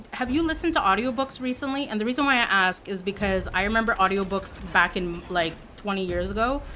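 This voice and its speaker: A woman in her thirties, a streamer chatting casually with her viewers and telling animated stories. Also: mid-range voice, a little hiss or hum. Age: 30s. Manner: chatty storyteller, animated asides. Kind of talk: casual chat with live chat.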